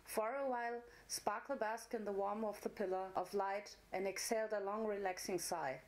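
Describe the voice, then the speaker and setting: Female narrator, medium pitch, for dramatic fantasy storytelling. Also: steady tone, normal speed, darkish tone, poor audio.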